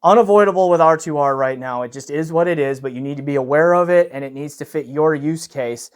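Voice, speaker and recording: Man, somewhat higher pitch, casual product review, unscripted, explanatory, conversational check-ins. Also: fast talker, clear, resonant tone. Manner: conversational, explanatory, often checks in with "okay?" and "right?". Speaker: audio gear reviewer, video host.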